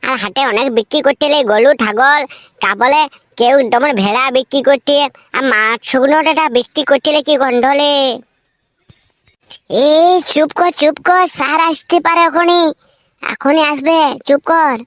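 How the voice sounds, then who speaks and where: A woman's voice: high voice, moderate pace, a bit bright, no echo. A woman voicing a cheeky, eager village schoolchild in a comic cartoon skit, with a showy, muddled way of reciting. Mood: chatty.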